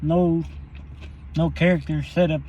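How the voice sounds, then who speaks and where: A man's voice: a little high, flat delivery, measured pace, mellow. A young man talking while he eats in a parked car, in a loose, rambling and profane way for his vlog. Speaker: vlogger. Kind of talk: casual eating vlog monologue.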